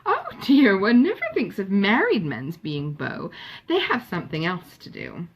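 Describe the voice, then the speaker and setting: Woman voicing a gushing, nosy young chatterbox, swooping pitch. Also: sing-song, unhurried, husky, exaggerated voice. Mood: gossipy. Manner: gushing, familiar, inquisitive. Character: vulgar, nosy, chattering young Englishwoman, eldest sister.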